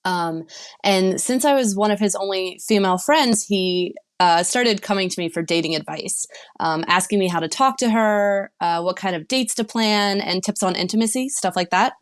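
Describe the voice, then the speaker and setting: A woman's voice: medium pitch, moderate pace, clear, resonant tone. A woman sex and relationship coach, a podcast guest, talking frankly and laughing easily.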